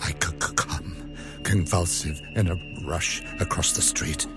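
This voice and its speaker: An actor playing an ancient, lonely male vampire who stammers in a slow, hushed, menacing way, in a radio drama. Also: low voice, fairly even intonation, music in the background. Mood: brooding.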